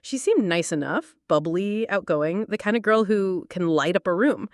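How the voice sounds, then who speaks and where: Woman, late twenties, confessional storyteller; lively intonation, dry close mic. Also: medium pitch, normal speed, dry recording. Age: late 20s. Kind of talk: first-person storytelling narration.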